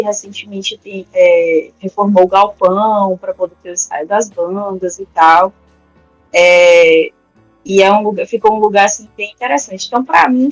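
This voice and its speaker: Higher-pitched woman, dry close sound. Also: no echo, rough recording. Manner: hesitant, explaining with many fillers and restarts. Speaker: podcast guest, researcher and teacher. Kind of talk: casual conversational interview. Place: resident of a neighborhood in Salvador, Brazil.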